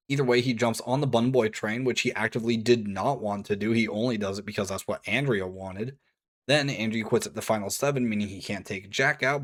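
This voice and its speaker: A man narrating a written review at an ordinary mid pitch, casual and chatty, with offhand asides between points. His voice sounds worn and slightly hoarse from long talking. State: voice strained and hoarse. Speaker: video reviewer.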